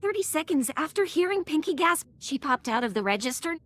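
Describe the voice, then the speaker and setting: A woman's voice: sits high, big pitch swings, fairly quick, a bit bright, slight background noise. A woman giving a scripted, storybook-style narration as the narrator of a tale.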